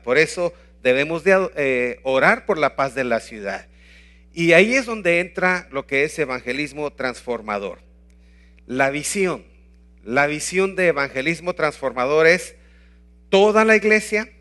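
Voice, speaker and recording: Bright-voiced Guatemalan man teaching. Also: on the high side. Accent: Guatemalan Spanish. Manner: earnest, emphatic preaching with rhetorical questions. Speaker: pastor and evangelism teacher. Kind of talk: teaching talk with slides.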